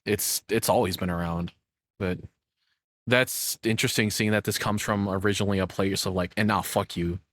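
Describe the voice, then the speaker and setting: Low-voiced man, casual commentary, very dry sound. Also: steady tone, normal speed, a bit bright, dry recording. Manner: informal, freely swearing. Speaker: reaction streamer.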